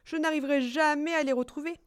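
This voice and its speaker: A woman voicing a busy, hurried squirrel in a storybook tale, exclaiming as it talks. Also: sits high, steady tone, no room sound, clean studio sound, voice pushed up, natural voice. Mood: dismayed. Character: busy, hurried squirrel, too occupied to play.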